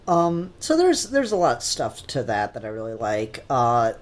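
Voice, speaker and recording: A man talks as a podcast co-host. He is opinionated and emphatic, pausing and restarting as he works out each point in casual conversation. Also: mid-range voice, wildly swooping pitch. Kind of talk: casual fan discussion chat.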